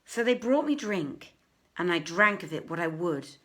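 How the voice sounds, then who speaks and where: A woman reading an old literary tale aloud as a storyteller, with expressive, measured phrasing. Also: on the low side. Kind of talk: dramatic live literary reading.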